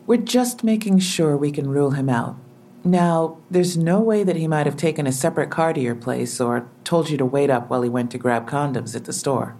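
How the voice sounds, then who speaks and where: An actress playing a businesslike police officer who presses with matter-of-fact questions in an audio drama. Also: female voice, low-pitched, normal speed, mellow. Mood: probing, businesslike.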